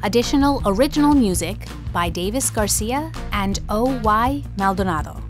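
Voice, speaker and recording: A woman, the show's director, reading scripted closing credits. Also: mid-range voice. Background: music.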